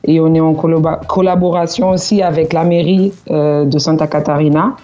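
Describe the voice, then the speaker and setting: A woman's voice: low voice, fairly even intonation, normal speed, dark tone, lo-fi sound. A woman from Portugal, speaking as a guest on a radio interview, earnest and full of hesitations. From Portugal, Cape Verdean parents. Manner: hesitant, many fillers, earnest. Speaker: association president.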